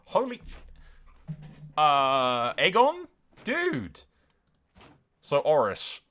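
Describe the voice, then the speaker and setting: A man's voice: on the high side, huge pitch swings, extremely slow, a bit bright. A man giving casual, sweary and blunt gameplay commentary as the host of a gaming video. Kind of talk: casual gameplay commentary with role-play asides.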